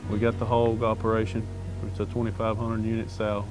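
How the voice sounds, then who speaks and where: A man's voice: on the low side, completely flat delivery, brisk. A middle-aged man with a Southern US accent, a farmer talking casually and plainly in an interview. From Arkansas, United States. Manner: folksy, plainspoken. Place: outdoors in an open pasture. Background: music.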